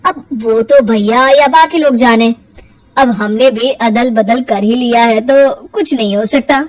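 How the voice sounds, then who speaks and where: A woman's voice: fairly high pitch, a little slow, no room sound, natural voice, rough recording. A woman voicing a wry older father-in-law in a cartoon family story. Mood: playful.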